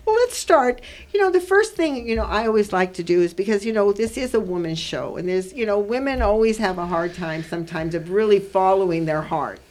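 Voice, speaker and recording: A woman's voice: mid-range voice, animated, a little fast, few pauses, a little mellow. Older female radio host, mid-range voice, chatty and effusive, interviewing guests in studio. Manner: effusive, exclaiming.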